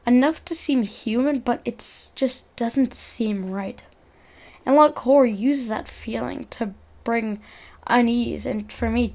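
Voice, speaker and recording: A woman's voice: on the high side, creaky, darkish tone. A young woman narrating a video essay in an informal, earnest way, reading from a script and stumbling over words now and then.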